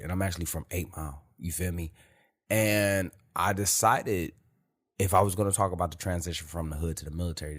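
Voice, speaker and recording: Man, very low voice, rambling unscripted podcast host, slangy and profane. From Detroit, USA. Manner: loose, rambling, peppered with "you feel me" and profanity. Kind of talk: unscripted casual monologue.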